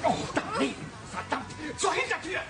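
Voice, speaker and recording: An actor in a German radio crime drama playing an agitated, scornful cosmetic surgeon on the run, stammering and theatrical. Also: male voice, very high voice, huge pitch swings, moderate pace, creaky, sharp tone, soft background music, full character voice. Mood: manic, mocking.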